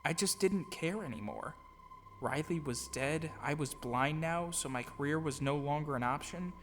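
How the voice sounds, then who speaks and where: A man's voice: on the high side, normal speed, slight background noise. A man giving an earnest, reflective scripted narration of his own life, with short acted dialogue. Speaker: narrator, audio drama producer and actor. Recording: long reverb, clear music behind. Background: music bed.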